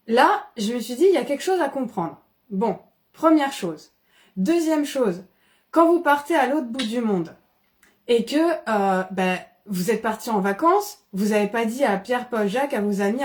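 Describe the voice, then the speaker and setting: A woman from the Paris region, explaining ideas in casual, animated conversation with frequent restarts. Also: fairly high pitch. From Paris region, France. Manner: animated, many restarts and fillers.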